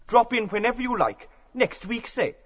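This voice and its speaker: Hearty, exclaiming Irish gabber played by a male actor, high husky voice, audiobook drama, roomy sound. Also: expressive, normal speed, exaggerated voice. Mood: genial, chatty. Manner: exclamatory, colloquial, obliging. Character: Ned Lambert, hearty, chatty, cursing Dublin man with a cold. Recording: dull roomy home recording.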